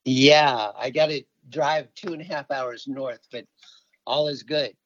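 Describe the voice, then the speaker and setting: A man's voice: medium pitch, a little slow, fairly bright tone, no room sound. A middle-aged man from Alaska giving conversational answers as an interview guest and environmental activist. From Alaska, United States. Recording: slightly dull, dry lo-fi audio.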